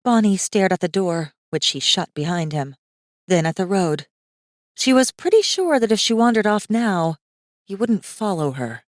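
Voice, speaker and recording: A woman's voice: medium pitch, big pitch swings, normal speed, dry recording. A woman reading scripted fantasy fiction as a dramatic audiobook narrator. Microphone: close studio microphone. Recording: dry studio recording.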